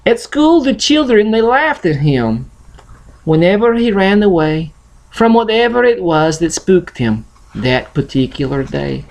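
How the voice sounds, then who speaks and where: Russian-accented man reading slowly, with swooping intonation. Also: high voice, expressive, creaky, a little mellow, rough recording. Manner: non-native grammar, halting, playful delivery. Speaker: host reading a picture book aloud. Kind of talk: storybook reading and casual chat to camera.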